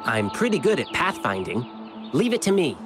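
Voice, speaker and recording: A man's voice: mid-range voice, huge pitch swings, normal speed. A man playing an earnest, protective young hero in a video game, with a polite, reassuring way of talking. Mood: curious, confident. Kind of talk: scripted video game voice acting. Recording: game music under the voice. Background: background music.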